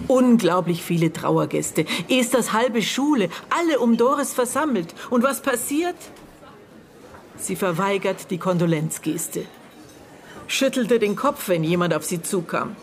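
An actress playing a middle-aged, bitter and guarded aunt in a naturalistic radio drama, speaking in terse, halting phrases as she searches her memory. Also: medium pitch, expressive. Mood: bitter, incredulous. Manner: terse, halting, broken phrases with thoughtful pauses.

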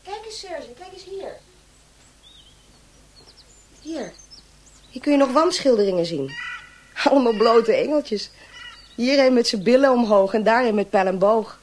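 An actress playing a dreamy, romantic young woman in love in a radio drama, wistful and often hushed and breathy. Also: on the high side, expressive, measured pace, long pauses. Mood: curious, wondering. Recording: clear outdoor nature noise.

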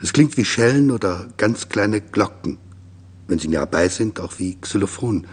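A man's voice: fairly low pitch, animated, moderate pace, a little mellow, slightly rough. A man reading descriptive literary prose in a scripted radio style.

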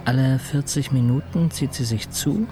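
A man playing an intensive-care patient in a radio drama, soberly reporting his own state in measured, clinical, clipped sentences. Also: medium pitch, lightly acted. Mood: sober, detached. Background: music.

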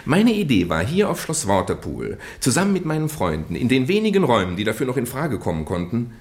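A man acting in a German radio drama as a British gentleman nephew, earnestly and haltingly confessing a well-meant deception in genteel, roundabout phrases. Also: medium pitch, huge pitch swings. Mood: eager, justifying. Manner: hesitant, roundabout, genteel storytelling with stammered repeats.

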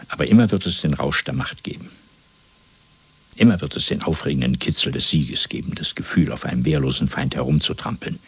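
An actor playing a coldly domineering party interrogator in a German radio play, lecturing in a measured, zealous way with a gravelly, husky voice. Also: male voice, rumbling low, exaggerated voice. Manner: measured, lecturing, swelling from hushed to fervent.

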